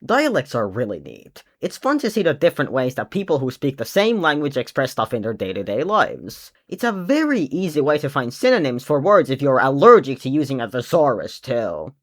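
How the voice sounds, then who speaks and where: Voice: mid-range voice, very animated, fairly quick, few pauses. A young adult native Finnish speaker talking in a casual vlog, blunt and sarcastic, swearing now and then while ranting. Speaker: video creator.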